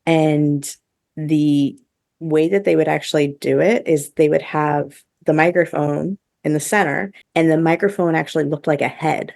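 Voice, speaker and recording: Low-voiced woman with a creaky edge. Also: fairly even intonation, moderate pace, a little mellow, dry recording, studio quality. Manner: chatty, enthusiastic, heavy use of "like". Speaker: podcast co-host. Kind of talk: casual podcast review chat.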